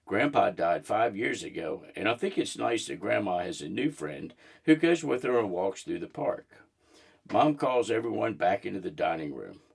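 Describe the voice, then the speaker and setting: A man reading a children's book aloud in an expressive storybook narration style. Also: lowish voice, moderate pace, gravelly, slightly rough.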